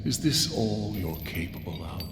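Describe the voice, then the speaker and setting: An actor playing a sinister, taunting impostor of a comrade in a video game cutscene, mocking and theatrical. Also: male voice, sits low, animated, moderate pace, over loud music. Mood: cold, accusing.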